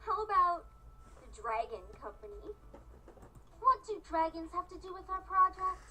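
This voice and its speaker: A woman voicing lively, bossy young female students from a visual novel, perky and exaggerated. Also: extremely high pitch, moderate pace, heavily acted, rough recording. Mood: bickering.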